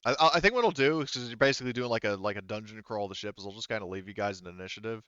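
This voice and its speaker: A man working as game master, talking casually through tabletop rules in a halting way, thinking aloud. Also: lowish voice, fairly bright tone, clean tone, no room sound, muffled recording.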